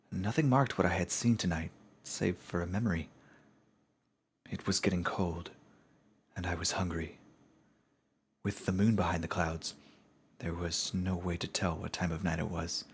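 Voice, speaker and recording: A man giving a measured, solemn dramatic narration of a fantasy story, as in an audiobook. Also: low voice, slight background noise.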